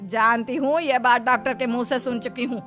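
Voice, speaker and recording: A woman's voice: fairly high pitch, steady tone, a little fast, a bit bright, faint music behind, lightly acted. A woman voicing a domineering, stubborn older mother-in-law who scolds and commands in a family cartoon. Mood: scolding, angry. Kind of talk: Hindi family cartoon acting.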